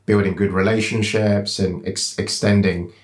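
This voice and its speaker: A man co-hosting an interview from a home room with bookshelves, talking in an encouraging, lively and quick way. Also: lowish voice, roomy sound. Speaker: co-host.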